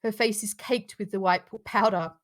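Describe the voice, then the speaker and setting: A woman reads out screenplay directions in a middle-pitched voice. The delivery is scripted narration for an informal live table read, loose enough to allow casual asides. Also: fairly even intonation, moderate pace.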